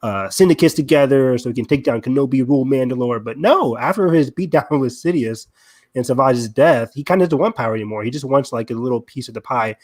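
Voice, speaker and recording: A man hosts a relaxed fan podcast with an enthusiastic, chatty delivery. His voice sits at an ordinary male pitch, and he talks in a conversational, agreeable way. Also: fast. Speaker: podcast host. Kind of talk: casual fan discussion.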